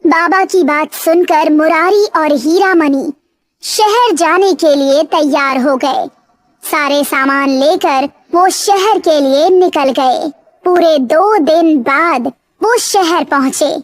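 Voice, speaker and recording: A woman's voice: high-pitched, takes it slowly, no room sound. A woman narrating a scripted moral story for children.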